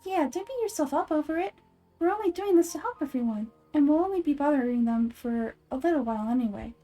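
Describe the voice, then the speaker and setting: A woman voicing an excitable, candid little fairy companion from a game, perky and easily flustered. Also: high voice, fast, faint music behind. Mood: reassuring.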